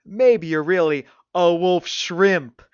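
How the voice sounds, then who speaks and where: Man playing a swaggering young boy, pitched up. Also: animated, normal speed, a little mellow, dry recording, dull audio, close to an everyday voice. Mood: mocking, sneering. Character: big confident boy, frightened at home, sneering bully.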